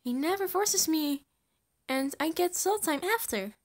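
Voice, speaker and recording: A woman's voice: extremely high pitch, lively intonation, measured pace, full character voice. A woman voicing a small, eager, clingy and naive void creature in an amateur comic dub. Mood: excited, eager.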